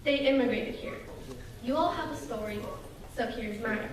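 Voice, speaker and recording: A young woman from Russia delivers a prepared speech to an audience in an earnest, measured way. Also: fairly high pitch, a crowd in the background. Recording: echoey hall from far off, noisy.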